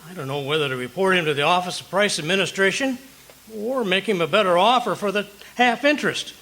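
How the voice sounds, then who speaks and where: A man's voice: sits high, quick pace, bright, forceful tone, poor audio. A man playing a blustery, stubborn, penny-pinching husband in an old-time radio comedy, with indignant, folksy exaggeration. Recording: live stage performance with background noise.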